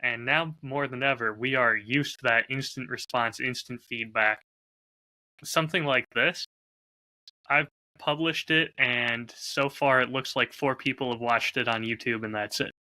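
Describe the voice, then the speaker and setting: A man's voice: medium pitch, steady tone, a little slow, bright, forceful tone, low-quality recording. A man co-hosting a podcast, talking candidly and articulately in a casual, reflective, storytelling way. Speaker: podcast host and audio drama creator.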